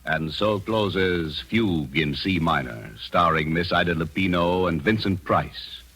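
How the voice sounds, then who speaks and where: Deep, slow 1940s radio announcer man. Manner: measured, dramatic. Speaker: announcer of a radio drama series. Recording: vintage radio, loud organ-like music.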